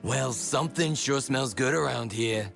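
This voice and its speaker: Guy playing a grumbly sarcastic sidekick, gravelly n bright, lively, comedy audio drama. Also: mid-range voice, measured pace, faint music behind. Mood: puzzled. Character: grumbling, hungry, sardonic male adventurer sidekick.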